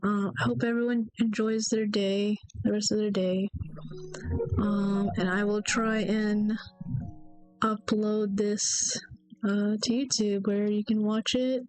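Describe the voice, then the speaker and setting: A woman's voice: medium pitch, little pitch movement, takes it slowly, a little mellow, clear, resonant tone. A young woman streaming her solo game development, chatting casually with lots of fillers and trailing thoughts, her nose stuffy and throat sore. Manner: rambling, hesitant, many fillers. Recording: faint music behind.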